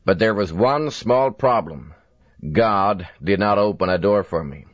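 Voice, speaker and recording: Slow, deep-voiced older preacher. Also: male voice, no echo. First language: Swedish. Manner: slow, earnest preaching with deliberate emphasis. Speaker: evangelist preacher. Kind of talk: recorded sermon. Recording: dull lo-fi dry recording.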